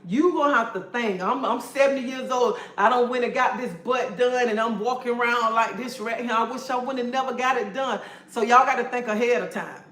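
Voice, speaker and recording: A woman's voice: on the high side, brisk, few pauses, a bit bright, reverberant. Sassy woman preaching on a livestream. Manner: sassy, preachy, calls listeners honey and baby. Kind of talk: casual livestream pep talk.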